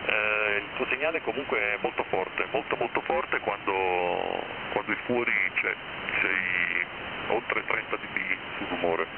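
A man working as an amateur radio operator in an unscripted radio contact, speaking deliberately and spelling out call signs. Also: fairly low pitch, vocal fry. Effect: radio transmission. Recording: narrowband satellite radio link, heavy hiss.

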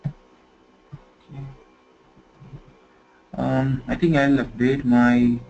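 A man teaching software configuration in a screen-recorded tutorial, talking conversationally and filling pauses with "you know". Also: mid-range voice, flat delivery, gravelly, faint music behind. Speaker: software trainer. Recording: slightly dull lo-fi screen recording with faint noise.

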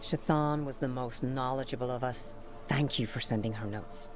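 A woman playing a solemn, guarded female scholar with horns in a video game, speaking in a formal, measured way. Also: extremely low pitch, soft background music. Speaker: linguist.

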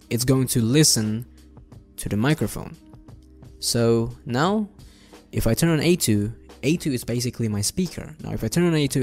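A young adult man hosting an informal software tutorial, explaining things step by step in a casual way. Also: mid-range voice. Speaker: tutorial host. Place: indoor room at a desk.

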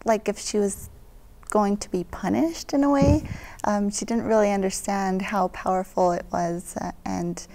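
A woman's voice: mid-range voice, fairly even intonation, measured pace, vocal fry, warm tone, poor audio. A young Mexican-American woman whose first language is Spanish, a parent on a studio panel, speaking thoughtfully with fillers. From Mexican-American family. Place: dark TV studio set. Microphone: lapel mic.

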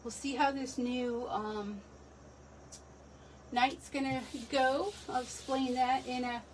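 A woman's voice: high voice, fairly even intonation, slow. A middle-aged woman losing her voice, hosting a live craft stream from her home desk in a chatty, rambling, self-deprecating way. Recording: distant from the mic, faint room noise.

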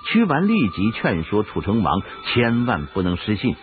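Low-voiced man, expressive radio drama narrator, storytelling delivery, music behind, dull recording. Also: normal speed.

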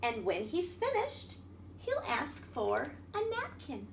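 A middle-aged woman reading a picture book aloud as a children's storytime host, expressive and sing-song, talking directly to young children. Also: high voice, slow, vocal fry. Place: indoor room at a wooden table by a window. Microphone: camera across the table. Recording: echoey room with background noise.

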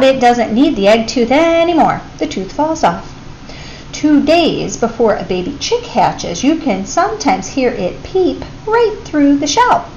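A woman reading a children's picture book aloud in an expressive teacher's manner, addressing young listeners. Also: a little high, rough recording. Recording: clear background noise.

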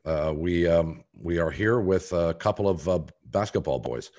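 Older man with very low voice. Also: lively intonation, moderate pace, darkish tone. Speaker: sports podcast host. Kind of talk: opinionated sports talk. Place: home room on a video call.

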